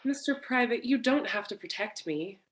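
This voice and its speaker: A woman playing a frightened, flirtatious femme fatale wife in a staged noir parody, with drawn-out melodramatic delivery. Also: a little high, lively intonation, close to an everyday voice. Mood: earnest, urgent. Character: frightened, seductive femme fatale wife, breathy damsel in distress.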